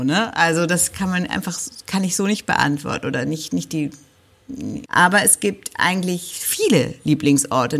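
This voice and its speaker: A woman from Berlin, a guest author, talking over a video call with many pauses, fillers and restarts. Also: sits low. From Berlin, Germany. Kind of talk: remote interview chat.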